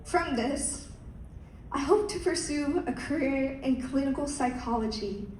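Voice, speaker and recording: A young woman, a pageant contestant, reciting a rehearsed speech in a slow, measured way. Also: high-pitched, slight background noise. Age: young adult. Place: large hall. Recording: echoey hall from far off.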